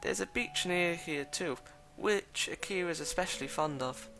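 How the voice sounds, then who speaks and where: A man reading as a gentle, polite blind young woman, speaking softly and courteously in a measured way over faint music. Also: fairly high pitch, gravelly.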